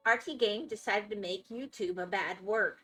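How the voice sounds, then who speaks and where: Bright-voiced woman with a Florida accent. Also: medium pitch, normal speed, breathy edge, soft background music. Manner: chatty, reacting to viewer chat. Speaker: live streamer. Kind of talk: casual chat while gaming.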